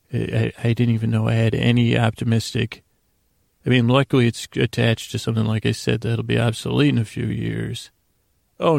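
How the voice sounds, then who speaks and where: Very creaky-voiced man, rambling podcast host. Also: mid-range voice, no room sound, studio quality. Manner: meandering, halting, self-interrupting, whimsical. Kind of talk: rambling bedtime sleep podcast monologue.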